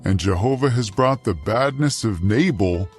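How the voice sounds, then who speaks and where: An actor playing an earnest, solemn warrior leader of ancient Israel in a dramatized scripture reading, with deliberate, declamatory delivery. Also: male voice, on the low side, expressive, faint music behind, natural voice. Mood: solemn praise.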